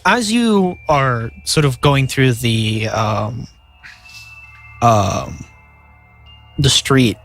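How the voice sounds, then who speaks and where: A young man with a nasal voice, narrating a tabletop game as game master, halting and filling pauses with "uh" and "like". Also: on the high side, fairly bright tone. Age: young adult.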